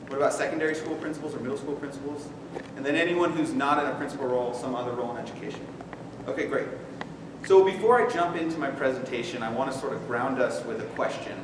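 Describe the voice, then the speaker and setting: Loud man speaking unmiked in a hall. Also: fairly high pitch. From Oregon. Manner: loud, engaging, asks audience for raised hands. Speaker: speaker addressing school principals. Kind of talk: conference talk to a live audience. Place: large echoey conference room. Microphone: no microphone, recorded from far off. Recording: echoey hall, distant and noisy.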